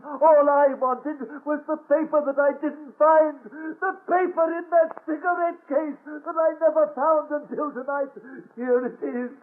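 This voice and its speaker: An actor playing a guilt-ridden, pleading murderer confessing in frantic 1930s radio melodrama. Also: male voice, very high voice. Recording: thin, tinny 1930s radio recording.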